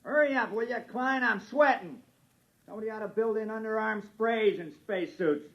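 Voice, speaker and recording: An actor playing a wisecracking, impatient geologist on a moon crew in a 1950s radio drama. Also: male voice, sits high, normal speed, a bit bright. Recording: dull, lo-fi 1950s radio recording.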